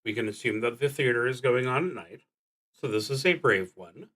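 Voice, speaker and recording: A man streaming a solo roleplaying game, thinking aloud and reading out cards, often halting and trailing off as he works things out. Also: medium pitch, fairly bright tone.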